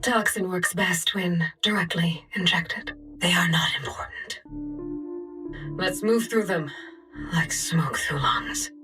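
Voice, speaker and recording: Cold poison chemist for a game, low breathy voice, set back from the mic. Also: female voice, slow pace, distant mic, over music. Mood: menacing. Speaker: game character.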